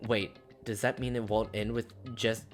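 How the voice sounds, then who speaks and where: A woman voicing a level-headed young male student, talking in a quiet, wary, thoughtful way. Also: a bass voice, flat delivery, moderate pace, pitched below the performer's own voice. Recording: faint game music behind.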